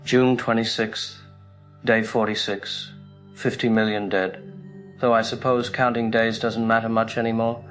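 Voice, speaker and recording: A man acting as a weary survivor with a lowish, very even voice. He recounts his diary in a resigned, reflective way over soft music, as in an apocalyptic audio drama. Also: measured pace. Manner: flat, reflective.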